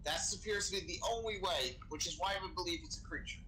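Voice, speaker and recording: A man's voice: sits high, quick pace, pushed and bright. A man improvising in character over game voice chat, explaining things in a casual, sweary way. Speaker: role-play gamer playing a crew member. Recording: noisy gaming voice chat.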